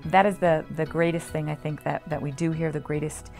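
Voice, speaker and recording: A woman's voice: sits low, fairly even intonation, fairly quick, creaky, darkish tone. A woman speaking earnestly as a staff representative, explaining services in a promotional interview. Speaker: staff representative of a community health organization. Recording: music playing under the voice.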